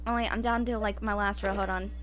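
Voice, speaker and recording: A woman's voice: mid-range voice, fairly even intonation, quick pace, a bit bright, faint music behind. A woman in her twenties chatting casually as she live-streams a game, thinking aloud in an informal way. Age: 20s. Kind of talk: casual live gameplay chat.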